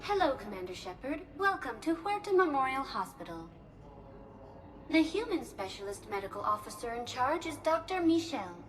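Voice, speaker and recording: A woman's voice: high-pitched, sing-song, moderate pace, a little hiss or hum. A woman playing a polite, efficient hospital receptionist in a game, speaking formally and precisely. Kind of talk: scripted informational game dialogue.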